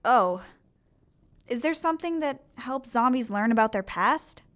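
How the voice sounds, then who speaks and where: A woman's voice: a little high, animated, unhurried, a bit bright. Woman acting a wry teenage zombie girl narrator. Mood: bewildered, curious. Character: teenage zombie girl, bewildered newcomer narrating her own story. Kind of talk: scripted first-person audio drama narration.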